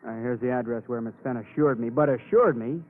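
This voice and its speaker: An actor playing a dry, wry police detective in an old radio crime drama, clipped and matter-of-fact. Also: male voice, medium pitch, brisk, narrow, tinny audio, natural voice. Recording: thin, band-limited old radio recording.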